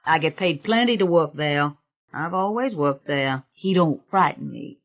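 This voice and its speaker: A woman's voice: sits low, expressive, a little slow, narrow, tinny audio. A woman voicing an unbothered, plainspoken male gardener in an audiobook, folksy and reassuring.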